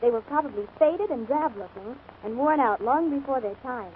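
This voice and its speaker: Vintage radio commercial woman, high and chirpy, scripted advice, tinny crackly broadcast. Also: animated, normal speed. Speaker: advertisement co-presenter. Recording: scratchy, narrow-band 1940s radio broadcast.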